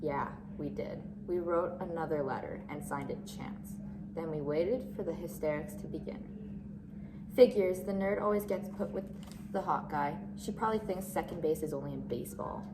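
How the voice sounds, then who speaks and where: A woman's voice: low-pitched, normal speed, natural voice. A woman playing a mean, scheming, snobbish teenage cheerleader with a catty, scornful manner in amateur drama. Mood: gloating, catty. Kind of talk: amateur dramatic monologue reading. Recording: echoey room with clear noise.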